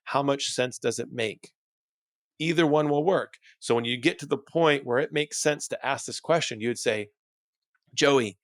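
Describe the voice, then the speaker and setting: A man's voice: mid-range voice, no room sound, studio quality. A man giving a motivational coaching talk as host, instructing with emphasis and rhetorical questions. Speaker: dental practice coach and host.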